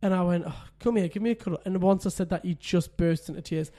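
A man's voice: quick pace, warm tone. A man, a podcast co-host telling a parenting story in casual chat, often laughing. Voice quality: says he has a deep voice.

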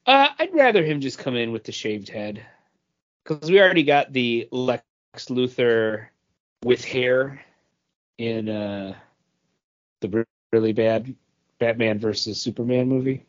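A man co-hosting a casual entertainment news chat, halting as he searches for words. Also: medium pitch, animated. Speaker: podcast co-host presenting news. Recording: dull lo-fi sound.

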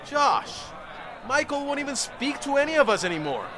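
An actor playing the pompous, preachy leader of a fanatical brotherhood in a supernatural audio drama. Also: male voice, extremely high pitch, sing-song, moderate pace, crowd noise, background noise. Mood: zealous, commanding. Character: fanatical male leader of human supremacist brotherhood.

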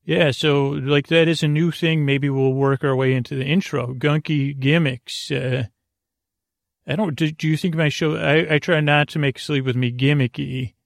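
A man with a gravelly voice hosting a sleep podcast, talking in a halting, meandering way, stammering and drifting into tangents. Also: on the high side, fairly even intonation, no echo, clean studio sound. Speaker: podcast host. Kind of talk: rambling bedtime monologue for a sleep podcast.

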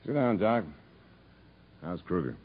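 An unyielding prison camp captain, a man with a low, rough-edged voice that swings forcefully up and down. He is blunt and commanding in the style of 1950s radio drama. The old broadcast sounds muffled. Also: big pitch swings, slow, exaggerated voice. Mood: firm, guarded.

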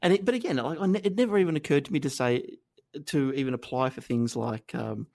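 An Australian man in his thirties, a guest reflecting on his past in a halting, self-deprecating way, with many restarts and fillers. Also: medium pitch, creaky, a little mellow. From northern New South Wales, Australia. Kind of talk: reflective conversational interview.

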